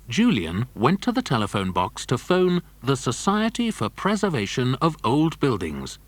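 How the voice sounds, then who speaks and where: Animated male children's story narrator. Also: medium pitch, no echo.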